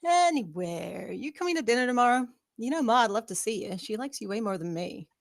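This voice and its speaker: A woman's voice: on the high side, lively intonation, brisk, creaky. A woman playing a sardonic young female hacker, casual, dismissive and slangy, in a screenplay table read. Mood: teasing.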